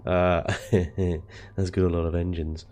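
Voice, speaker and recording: A man with a rough, hoarse voice from the flu, chatting casually as he shows off his base in a video game. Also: sits low, little pitch movement, measured pace, darkish tone. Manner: chatty, self-deprecating, frequent ums and chuckles. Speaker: gaming video host. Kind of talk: casual gameplay commentary.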